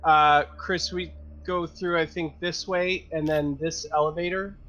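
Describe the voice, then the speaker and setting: A man's voice: fairly high pitch, fairly even intonation, measured pace, a bit bright. A man playing a tabletop game, chatting casually and thinking aloud with hesitations.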